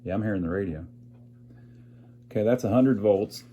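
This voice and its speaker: Low-voiced man talking through a repair. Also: normal speed, dark tone, a little hiss or hum. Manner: thinks aloud, folksy, wry humor. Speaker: amateur radio repairer and video host. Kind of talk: casual narration while working on a repair.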